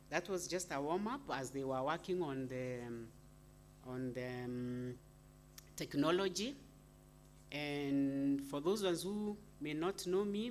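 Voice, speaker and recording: A woman with a Kenyan accent speaks at an unhurried, slow pace, addressing an audience informally as an academic. Her manner is chatty and playful, and she often turns to her listeners with questions. The live recording has some background noise. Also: extremely low pitch. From Eastern Kenya. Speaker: university academic, panel speaker. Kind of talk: informal public talk to an audience. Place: live university event. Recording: clearly noisy live event recording.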